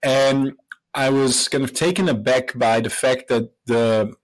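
A man from Belgium in his thirties, a professor and company co-founder, answering interview questions in a relaxed storytelling way, with fillers and restarts. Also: medium pitch, measured pace, rough recording.

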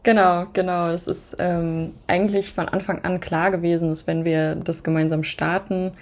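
A woman's voice: on the low side, level tone, moderate pace. A woman of twenty-five, a podcast guest in a casual interview, speaking reflectively in long winding sentences.

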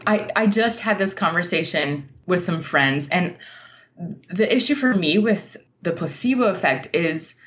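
A woman with a lowish voice talks at a very leisurely pace as an interview guest. She is informal and earnest, thinking as she goes. The sound is a little dull and lo-fi. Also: lively intonation, unhurried, fairly bright tone, slight background noise. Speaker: interview guest, nonfiction writer. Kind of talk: casual conversational interview.

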